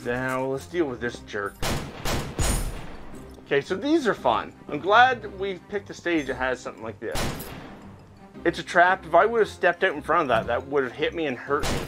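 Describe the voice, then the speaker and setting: A man's voice: a little high, sing-song, normal speed. A man hosting a gaming video, chatting casually to viewers as he plays a VR game. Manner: chatty, talking to viewers while playing. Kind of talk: casual VR gameplay commentary. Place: indoors, playing in a VR headset. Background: game music.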